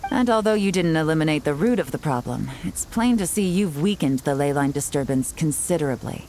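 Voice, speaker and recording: An actress playing a cool, shrewd female strategist in a video game, with a measured, knowing manner. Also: low-pitched, animated, darkish tone, slight background noise. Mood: appraising.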